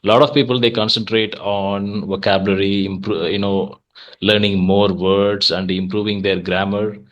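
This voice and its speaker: Low-voiced Indian man explaining earnestly. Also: flat delivery, moderate pace, muffled recording. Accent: Indian English, Tamil. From Tamil Nadu, India. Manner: earnest, explanatory, frequent "uh" fillers. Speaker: host of English practice channel. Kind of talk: casual conversation practice.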